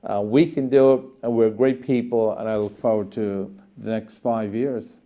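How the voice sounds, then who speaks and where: A man speaking as an interview guest in a TV studio, giving earnest, deliberate answers with halting pauses and repeated words for emphasis. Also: fairly low pitch, steady tone, highs cut off. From Guyana.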